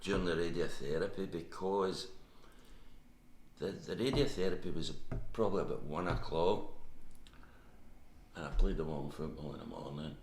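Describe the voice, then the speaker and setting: A man in his seventies with a Scottish accent, telling his story in a casual interview, halting with many restarts. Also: low voice, darkish tone. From Scotland. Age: 70s. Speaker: interview guest. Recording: echoey room with faint background hum.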